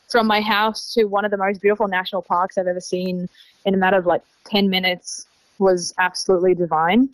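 A woman's voice: fairly low pitch, dry recording, rough recording. Young Israeli woman with an Australian-sounding accent. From Tel Aviv, Israel. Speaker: interview guest, young traveler. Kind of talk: conversational interview answers.

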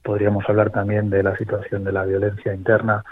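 A man from Spain with a Spanish accent, a guest talking politics over a call, pausing and restarting as he thinks. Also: lowish voice, completely flat delivery, no room sound. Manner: thoughtful, many restarts and fillers. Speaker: guest commentator. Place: remote location abroad. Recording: tinny phone line, dry.

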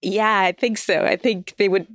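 Woman guest chatting on a podcast, laughs a lot, lively storytelling, dry close mic. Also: medium pitch, normal speed, no room sound. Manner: laughs often, animated storytelling. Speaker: guest, audio fiction writer. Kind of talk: casual interview chat.

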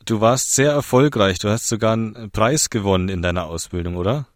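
A man's voice: on the low side, brisk, no echo, studio quality. A man interviewing a guest in a casual conversation, prompting with frequent short affirmations and leading questions.

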